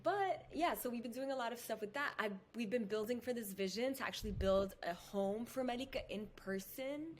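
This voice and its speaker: A woman guest on a podcast video call, talking in a lively, casual way with lots of fillers. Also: fairly high pitch, slight background noise. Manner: animated, fast, lots of "like". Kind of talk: casual conversation. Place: indoor room at home. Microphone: headphones on a video call.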